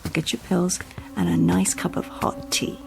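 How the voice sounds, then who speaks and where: An actress playing a cheerful, practical, caring home helper in a radio drama, coaxing and reassuring in manner. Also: female voice, on the low side, little pitch movement, normal speed, gravelly, rough recording. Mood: encouraging.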